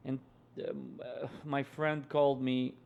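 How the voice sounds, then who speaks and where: Gravelly-voiced man telling stories. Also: mid-range voice, slow, muffled recording. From Ukraine. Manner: relaxed storytelling with frequent fillers. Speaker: guest musician. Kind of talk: casual interview chat.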